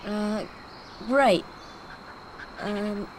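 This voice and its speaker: High-pitched, hesitant teenage boy hero. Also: fairly even intonation, very slow, a little hiss or hum, rough recording. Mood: earnest, puzzled. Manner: hesitant, questioning, youthful. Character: earnest, friendly teenage boy hero, a little confused. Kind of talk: video game cutscene voice acting.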